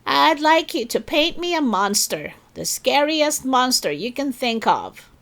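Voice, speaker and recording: A woman voicing a brisk female schoolteacher giving firm instructions in a children's storybook. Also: sits high, big pitch swings, a bit bright, voice pushed up, heavily acted. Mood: encouraging.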